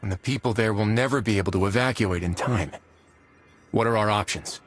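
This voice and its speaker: A man's voice: fairly low pitch, fairly quick, soft background music, lightly acted. An actor playing a concerned male warrior at a mission briefing in a video game, serious and brief. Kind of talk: video game cutscene dialogue.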